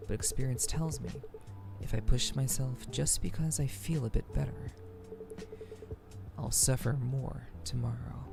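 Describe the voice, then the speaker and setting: A woman voicing a reserved, weary woman from a visual novel in a measured, subdued, formal manner. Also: extremely low pitch, fairly even intonation, gravelly, exaggerated voice. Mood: tired, calm. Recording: music behind the voice.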